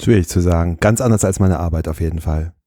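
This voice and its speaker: A man's voice: low voice, fast talker, warm tone, dry recording, clean studio sound. A man from northern Germany, a guest illustrator and painter, answering reflectively in long winding sentences and searching for words. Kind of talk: casual interview. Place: studio.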